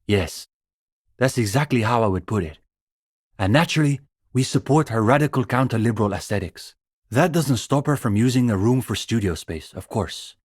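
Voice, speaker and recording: A young man voicing an earnest, bookish communist student in a video game, idealistic and a little condescending, speaking in measured, hesitant intellectual phrases. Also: mid-range voice, lively intonation, slightly rough, dry recording, clean studio sound. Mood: hesitant, diplomatic. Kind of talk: video game character dialogue.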